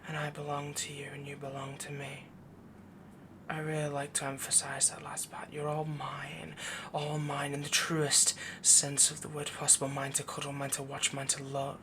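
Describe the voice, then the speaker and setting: A man voicing a doting, possessive young wolf boy mate in a soft, intimate, breathy whisper for an ASMR boyfriend roleplay. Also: a little high, monotone, noisy background, natural voice. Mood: adoring, possessive. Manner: slow, intimate, cooing, rambling devotion.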